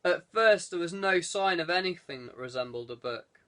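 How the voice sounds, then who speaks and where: A man's voice: high voice, animated, moderate pace, a bit bright. A man reading an adventure novel aloud as scripted audiobook narration. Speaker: audiobook reader.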